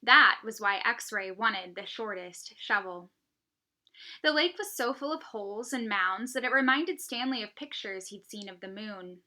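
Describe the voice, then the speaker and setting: A woman teacher reading a children's novel aloud, with expressive, emphatic phrasing and dramatic pauses. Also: mid-range voice.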